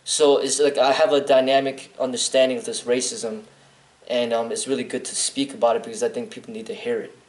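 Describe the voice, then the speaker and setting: A man's voice: medium pitch, little pitch movement, fairly quick, roomy sound, rough recording. A man from Chicago, a martial arts instructor, answering interview questions bluntly and earnestly, rambling with restarts and "um". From Chicago, United States.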